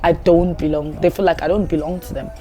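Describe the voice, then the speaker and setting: A woman's voice: lowish voice, fairly even intonation, a little fast, mellow, faint music behind. A woman from Zimbabwe chatting casually and candidly as a guest. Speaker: guest, Amapiano DJ and producer.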